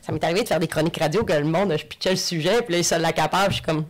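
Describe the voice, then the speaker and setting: A woman comedian with a Quebec French accent talks in a loose, chatty podcast conversation, her voice sitting a little low. She tells stories freely and laughs often. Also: racing. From Lac-Saint-Jean, Quebec. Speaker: comedian guest.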